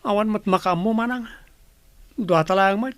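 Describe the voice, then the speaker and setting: An actor playing a brisk, scheming character in a Philippine radio drama. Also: mid-range voice, a bit bright, no room sound, close to an everyday voice. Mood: brisk, conspiring.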